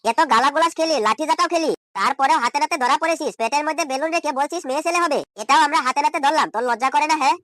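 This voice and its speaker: A man voicing an exasperated, scolding ordinary village man in an amateur comedy cartoon, repeating questions indignantly through a pitch-shift effect. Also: racing, few pauses, bright, forceful tone, dry recording, studio quality.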